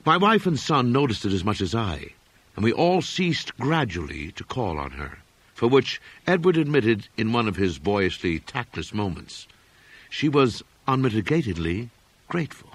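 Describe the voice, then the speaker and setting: A man narrating a literary horror story in a measured, formal storytelling manner. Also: medium pitch, sing-song, slightly rough. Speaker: audiobook narrator.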